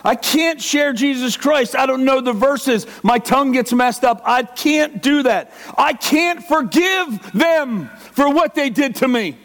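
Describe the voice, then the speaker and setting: High-voiced man preaching a sermon. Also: unhurried, few pauses, fairly bright tone. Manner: impassioned, acts out the inner voice of a struggling believer. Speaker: pastor.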